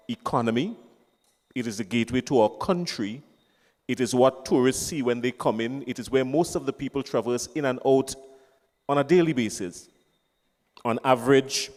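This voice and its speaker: The voice rings out with a long hall reverb around it as a man presses an emphatic question at a public meeting. His pitch sits in an ordinary middle range. He stacks his points one after another, each phrase pushed home with firm repetition. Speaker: audience member asking a question. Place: town hall meeting venue.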